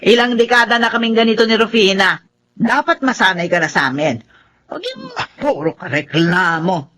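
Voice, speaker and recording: A performer voicing a bitter, sharp-tongued elderly wife in a Filipino audio drama, snappy and indignant, with a Filipino accent. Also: mid-range voice, expressive, normal speed. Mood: irritated.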